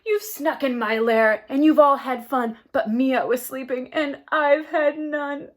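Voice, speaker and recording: Woman doing a loud tearful grumpy bear. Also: high voice, measured pace, pitched above the performer's own voice. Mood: upset. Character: big grumpy male bear, woken and weepy.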